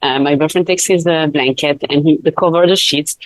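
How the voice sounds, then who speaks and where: Low-voiced woman, laughing easily. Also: fairly even intonation, brisk, no room sound, rough recording. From Switzerland. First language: not English. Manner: laughs often, many fillers and restarts. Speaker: guest, life coach. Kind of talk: casual interview chat.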